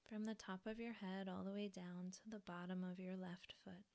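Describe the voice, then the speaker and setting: Female meditation guide: hushed, soothing, creaky mid-range voice, leaving space between instructions. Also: mid-range voice, level tone. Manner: soft, breathy, soothing instruction with pauses. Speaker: yoga nidra teacher.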